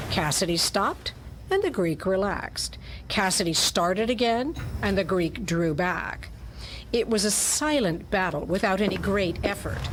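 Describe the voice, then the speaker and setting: A woman's voice: mid-range voice, close to an everyday voice. A woman acting as narrator of a hardboiled detective story, reading scripted prose in a dramatic storytelling style. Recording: clearly audible background noise.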